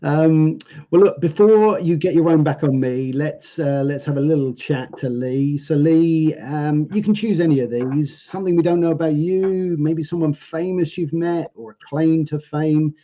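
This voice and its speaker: A man's voice: fairly high pitch, moderate pace, mellow, highs cut off. A man hosting a live online show, chatty and upbeat as he steers the conversation. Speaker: host. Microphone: video call.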